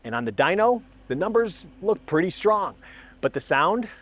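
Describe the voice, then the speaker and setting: A man's voice: high-pitched, sing-song, normal speed, fairly bright tone. A man hosting a car testing video, explaining things in an informal, enthusiastic way with emphatic phrasing. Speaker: host of a car exhaust testing channel. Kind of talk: scripted narration and casual explainer to camera.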